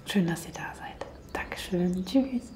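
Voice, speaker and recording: A hoarse woman hosting a painting channel, talking gently and half-whispering in a calm, chatty way. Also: lowish voice, a little slow. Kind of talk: calm chatty vlog talk.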